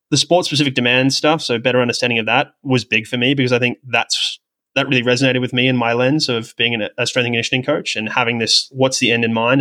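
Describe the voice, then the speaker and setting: Fast-talking man with a bright, forceful voice. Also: mid-range voice. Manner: rambling, self-deprecating, reflective. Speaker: podcast guest, sports technology professional with medical and coaching background. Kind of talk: conversational interview, explaining own experience.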